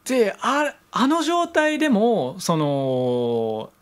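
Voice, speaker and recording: A man's voice: high-pitched, wildly swooping pitch, drawn-out words. A young man chatting casually and playfully earnest as an internet radio co-host. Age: young adult. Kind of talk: casual chat, bantering. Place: small home-style studio room. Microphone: desk microphone with headphones.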